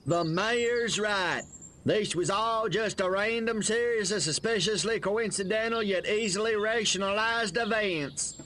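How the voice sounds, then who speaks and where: A high, sharp-voiced old farmer with a rural American accent, cantankerous and folksy. It is video game acting, with faint outdoor noise behind. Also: male voice, a little slow. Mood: placated. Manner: folksy, indignant. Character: cantankerous, gullible old male country farmer.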